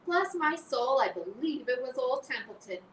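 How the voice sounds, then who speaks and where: Woman doing a sarcastic, whining rat for an audiobook; lively, mocking, echoey and distant. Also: high voice, moderate pace, pitched above the performer's own voice. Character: grumbling, lazy, self-pitying male rat. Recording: roomy, distant mic, faint hiss.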